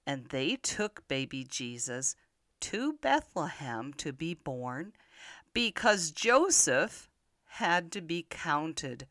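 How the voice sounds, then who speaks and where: An older woman telling Bible stories to young children in an expressive manner, often putting simple questions to the listener. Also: deep voice, slow, no room sound, clean studio sound. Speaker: children's podcast storyteller.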